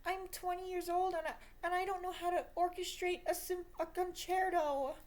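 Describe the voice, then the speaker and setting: Girl doing a whiny high pitched young composer wanting praise, loud n pleading, stammery fake apologies, in a video diary. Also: level tone, normal speed. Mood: mock pleading. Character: whiny, apologetic, self-important twenty-year-old composer fishing for praise.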